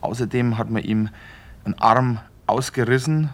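A man's voice: medium pitch, moderate pace, close to an everyday voice. A man acting in a radio play as a matter-of-fact, direct police investigator. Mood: grim reporting. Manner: factual, direct.

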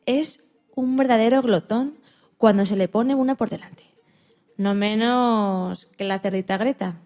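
A woman's voice: mid-range voice, dark tone. A woman presenting on radio, narrating in a storytelling style.

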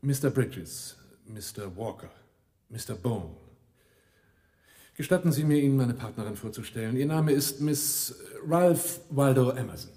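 An actor playing a sly, self-assured arms dealer in a German radio drama, with a suave, dryly ironic manner. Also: male voice, medium pitch, expressive, a little slow, warm tone, slightly rough. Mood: smooth salesmanship.

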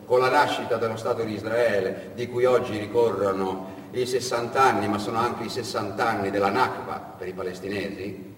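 A man narrating a dramatic stage monologue as an actor, with deliberate pauses and swells. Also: on the low side, steady tone. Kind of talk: theatrical storytelling monologue. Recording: echoey hall, distant mic, faint noise.

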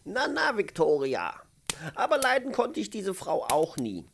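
An actor playing a kindly, jovial old gardener in a radio play, with a creaky, aged voice and a gently teasing, avuncular manner. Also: male voice, high-pitched, wildly swooping pitch, measured pace, slight background noise, heavily acted. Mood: friendly, explaining. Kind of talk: German radio-play acting.

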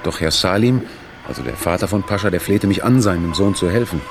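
An actor playing a sober, caring aid worker, narrating reflectively in a documentary-style radio play. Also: male voice, low-pitched, a little fast. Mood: concerned, reflective. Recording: clear music under the voice.

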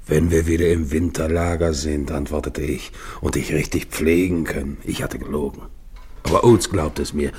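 An actor playing an anguished, guilt-ridden, dying polar expedition captain in a German radio play, with halting, brooding delivery. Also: male voice, rumbling low, steady tone, darkish tone, breathy edge, full character voice. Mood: grieving, weary.

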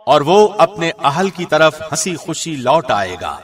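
A man reading a scripture translation formally, in the measured, solemn manner of a radio presenter. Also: a little high, sing-song, distant mic. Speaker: translation reader, radio host.

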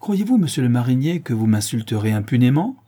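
A man voicing a pompous, excitable old ship's doctor who speaks vehemently and theatrically. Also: medium pitch, moderate pace, husky, pitched above the performer's own voice. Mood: indignant. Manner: vehement, theatrical, raises his voice.

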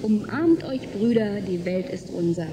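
A young woman playing a cheeky, sharp-tongued nineteen-year-old in a German radio drama, pert and mocking. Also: mid-range voice, expressive, measured pace, background noise, lightly acted. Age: young adult.

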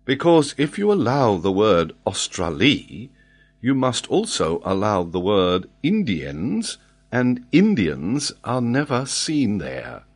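A man voicing a proud, incredulous British lord who challenges and doubts in a formal, measured way. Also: lowish voice, wildly swooping pitch, voice pushed up, full character voice. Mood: challenging.

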